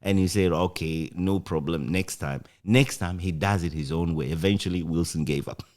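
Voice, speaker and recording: A man with a Ghanaian accent, reminiscing casually as an interview guest in an animated, anecdotal way. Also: low voice, normal speed. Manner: animated, chuckles often while recounting anecdotes. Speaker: interview guest, former radio broadcaster.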